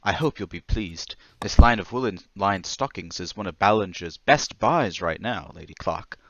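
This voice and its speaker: A man's voice: deep voice, animated, normal speed, fairly bright tone, dry recording, muffled recording. An actor playing a timid, troubled stocking salesman in an old-time radio drama, hesitant, stammering and deferentially polite. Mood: meek, grateful.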